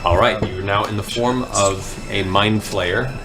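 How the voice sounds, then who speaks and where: A man's voice: lowish voice, fairly even intonation, measured pace, background noise. A man narrating a tabletop fantasy game as game master, in a dramatic, descriptive way. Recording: music bed under the voice. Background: background music.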